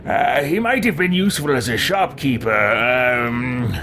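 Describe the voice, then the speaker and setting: A man's voice: mid-range voice, a little slow. A man giving casual gameplay commentary as a streamer. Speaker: game streamer.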